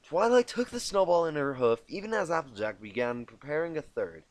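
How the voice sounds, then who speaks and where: Guy reading fan fiction out loud on a cheap mic, apologizes and flubs lines, really up and down pitch. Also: expressive, brisk. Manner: self-deprecating, stumbles and corrects himself. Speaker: amateur fan fiction reader. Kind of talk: informal storybook narration with asides. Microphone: cheap home microphone.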